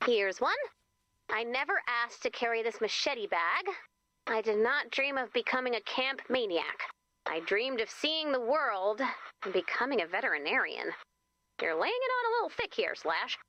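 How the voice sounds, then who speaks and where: An actress playing a young, perky camp leader in a video game, chatty, expressive and wryly exasperated. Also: female voice, on the high side, no room sound, dull audio. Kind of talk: video game character voice lines.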